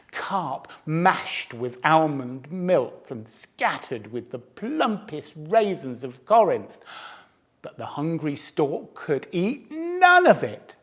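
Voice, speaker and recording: Sly boastful fox, man reading, storybook teller; slow, lively melody. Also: on the high side, heavily acted. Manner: relishing, theatrical, savouring food words. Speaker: storyteller to cubs. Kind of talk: storybook narration.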